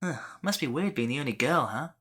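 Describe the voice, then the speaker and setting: A woman's voice: a bass voice, expressive, fairly quick, a bit bright, breathy edge, full character voice. A woman playing a cheeky, boastful sixteen-year-old boy in an audio drama, casual and brash. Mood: breezy.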